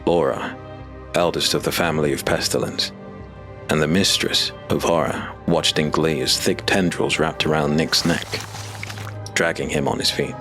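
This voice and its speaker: Deep-voiced man narrating a dark tale. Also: fairly even intonation, music in the background. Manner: measured, dramatic storytelling. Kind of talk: scripted audiobook narration.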